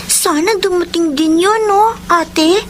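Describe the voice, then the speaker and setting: A woman's voice: very high voice, slow pace, a little hiss or hum. An actress playing a hopeful, sweet young schoolgirl daughter in a Filipino radio drama.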